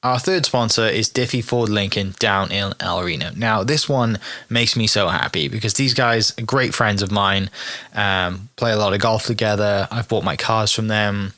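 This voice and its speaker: A man's voice: on the low side, normal speed, pushed and bright. A man hosting a podcast, chatty and upbeat, reading sponsor spots and welcoming a guest. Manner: upbeat, chatty, uses fillers. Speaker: podcast host.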